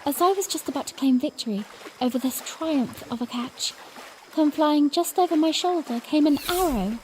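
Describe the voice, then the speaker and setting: Young princess , female actor, high and expressive, tender yet spirited, storybook audiodrama narration and dialogue. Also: moderate pace, a little hiss or hum, natural voice, low-quality recording. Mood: earnest, wistful. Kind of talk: first-person audiodrama narration with acted dialogue.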